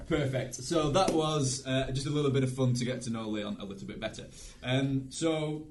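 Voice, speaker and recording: A man's voice: medium pitch, normal speed, a little mellow, slight background noise. A man co-hosting a podcast with casual banter, jokey, giggly and enthusiastic. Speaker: podcast co-host.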